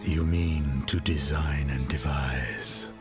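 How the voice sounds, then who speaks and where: An actor playing a calm, enigmatic older hitman in a video game cutscene, terse and courteous. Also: a bass voice, slow pace. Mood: cool, probing. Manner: terse, probing questions. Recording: music under the voice.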